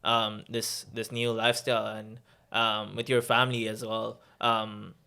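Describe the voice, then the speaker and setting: Guy hosting a podcast, pretty monotone, smooth clean voice, chill questions. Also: fairly low pitch, unhurried. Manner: easygoing, asks questions with many fillers. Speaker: podcast host. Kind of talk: casual interview talk.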